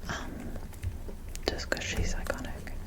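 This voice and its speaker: A young woman with an Australian accent speaking in a breathy whisper, rambling casually in an ASMR show-and-tell style. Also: slow pace. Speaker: ASMR creator showing a doll collection.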